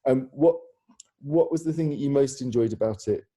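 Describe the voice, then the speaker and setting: A man's voice: mid-range voice, normal speed, warm tone. A man interviewing informally on a video call in an encouraging, slightly rambling and hesitant way. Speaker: interviewer, theatre practitioner.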